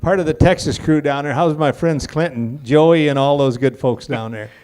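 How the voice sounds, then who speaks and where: A man's voice: a little high, normal speed, a little mellow, slight background noise. A man hosting a student auction who introduces guests and items in a friendly, folksy way. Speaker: auction school host. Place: auction hall.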